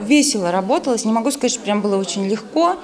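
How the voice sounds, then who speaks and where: A woman's voice: mid-range voice, expressive, quick pace, darkish tone, soft background music. A middle-aged woman giving spontaneous interview answers, often breaking off and repeating words as she goes. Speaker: screenwriter and magazine editor. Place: indoor room with chairs and bookshelves.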